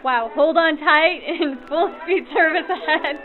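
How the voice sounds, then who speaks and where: A woman's voice: high-pitched, unhurried. An effusive woman, a volunteer crew member, answering interview questions through a vintage radio filter. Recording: tinny radio-like sound, crowd behind.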